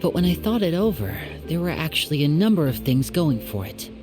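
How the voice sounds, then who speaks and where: A woman voicing a courteous, confident young male magician in an anime game, smooth-talking and showy. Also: sits low, very animated, fairly quick. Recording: music behind the voice.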